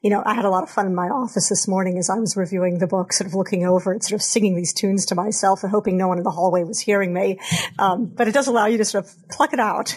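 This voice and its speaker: A woman's voice: medium pitch, slightly rough. A woman hosting an interview, chatty and inviting as she asks her guest questions. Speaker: interview host.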